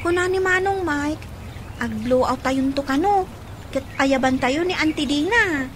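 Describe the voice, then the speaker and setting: A woman's voice: sits high, measured pace, a little hiss or hum. A woman playing a cheerful, eager young child in an Ilocano radio drama.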